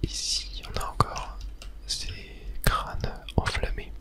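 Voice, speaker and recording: Someone softly whispering calm, soothing ASMR commentary while playing a video game, describing each step as it goes. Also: slow pace. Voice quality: soft unvoiced whisper. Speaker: video game streamer, ASMR channel host.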